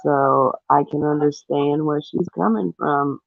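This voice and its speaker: A man's voice: a little high, steady tone, a little slow, vocal fry, dry recording. A man talking earnestly and disapprovingly as co-host of a casual livestream, reading out comments and giving commentary, with thin phone-like audio.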